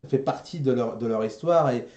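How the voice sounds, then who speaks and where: A man's voice: mid-range voice, fairly quick, a little mellow, highs cut off. Man hosting, in an echoey room. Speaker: show host. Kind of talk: casual live chat.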